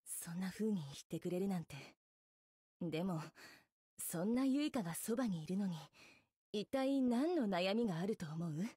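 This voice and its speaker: An actress playing a composed, princely young woman idol in a Japanese game, speaking politely and with gentle reassurance. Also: medium pitch, animated, normal speed, breathy edge, no echo, studio quality. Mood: tender, reassuring. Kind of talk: Japanese mobile game visual novel acting.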